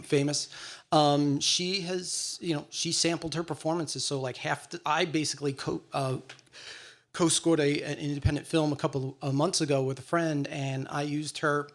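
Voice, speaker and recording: Man, composer on a panel, explanatory, eager, slightly high voice. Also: little pitch movement, moderate pace. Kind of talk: panel discussion.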